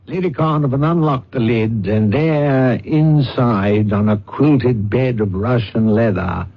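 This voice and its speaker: Suave male swindler posing as a psychic, medium pitch, pompous and precise, hissy old radio mystery recording. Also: slow pace, highs cut off. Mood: pompous, theatrical. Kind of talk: old-time radio drama acting.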